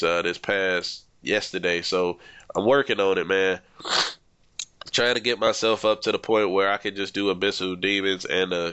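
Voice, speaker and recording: A man's voice: deep voice, sharp tone, clean tone, dry recording, lo-fi sound. A young man with a stuffed-up nose, talking casually over his gameplay as a gaming YouTuber, rambling and swearing freely. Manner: laid-back, rambling, swears freely, says "man" a lot.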